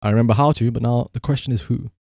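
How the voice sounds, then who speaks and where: A man's voice: mid-range voice, fairly even intonation, quick pace, vocal fry, a little mellow, no room sound, clean studio sound. A man livestreamer chatting casually and rambling to his viewers, swearing freely with playful exasperation. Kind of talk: casual chat to stream viewers.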